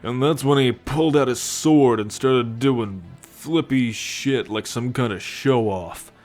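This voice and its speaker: A man plays a swaggering alien soldier who holds the listener as his ward. He talks slowly at an ordinary male pitch, with sardonic, boastful bite and coarse slang. It is intimate ASMR roleplay acting. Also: a little mellow, faint music behind, natural voice. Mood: amused, bragging. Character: cocky alien military scout, gruff, teasing, possessive captor.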